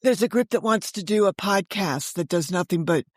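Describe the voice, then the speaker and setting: An American woman in her sixties telling stories conversationally as an interview guest, animated and emphatic, jumping between thoughts. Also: lowish voice, normal speed, no room sound, clean studio sound. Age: 60s. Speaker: guest, author and audio drama creator.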